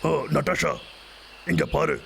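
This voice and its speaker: A man's voice: fairly high pitch, slow, gravelly, noisy background, heavily acted. A man voicing a goofy, bumbling male bear in a dubbed cartoon, teasing and coaxing. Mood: apologetic. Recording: voice over loud music.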